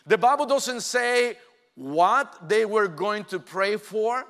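A man in his forties delivers a sermon in a high, bright voice. He speaks slowly and earnestly, with many "uh" fillers. His voice rings with the long reverb of a big church hall. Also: expressive. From Mexico. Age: 40s. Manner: earnest, hesitant with frequent "uh" fillers. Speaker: pastor. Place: church sanctuary.

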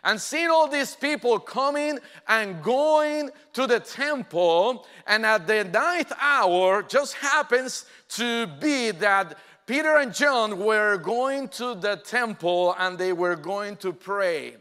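High, bright-voiced pastor preaching slowly. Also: male voice, lively intonation, clear, resonant tone. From Mexico. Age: 40s. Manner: earnest, hesitant with frequent "uh" fillers. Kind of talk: sermon. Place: church sanctuary. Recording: long reverb from a large church hall.

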